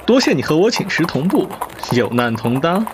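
An actor playing a talkative, excitable young nobleman in a Chinese audio drama, cheeky and exclamatory in manner. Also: fairly high pitch, normal speed, background noise. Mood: excited. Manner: chatty, exclamatory, teasing.

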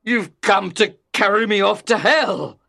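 A man's voice: on the low side, big pitch swings, slow, bright, forceful tone, no room sound, clean studio sound, full character voice. A man playing an anguished, defiant scholar in a dramatised audiobook, bitter and impassioned. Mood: frightened. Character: Faustus, anguished defiant scholar bound to the devil.

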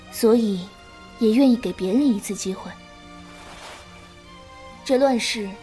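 A woman playing a calm, principled, protective elder-sister swordswoman in a martial-arts audio drama. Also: fairly high pitch, lightly acted. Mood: solemn. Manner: composed, coaxing, righteous lecturing.

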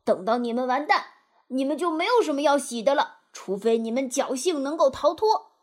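Woman voicing an excitable, high-pitched boastful mole. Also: normal speed. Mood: boastful, excited. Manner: animated, self-satisfied. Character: boastful, gleeful, excitable male mole in storybook.

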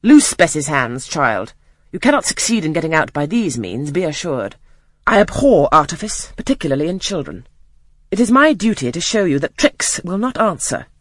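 A cold, commanding aunt, voiced by a reader, gives peremptory, clipped orders. The reading is dry and close, with lively pitch. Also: normal speed, no echo. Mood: severe. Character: stern, cold aunt, a commanding older woman. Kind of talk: audiobook character reading.

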